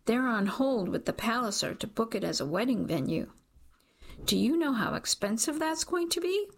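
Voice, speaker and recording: A woman's voice: a little high, very animated, moderate pace, gravelly, full character voice. A woman reading the part of an insistent young male coworker in an audiobook, pressing and urgent.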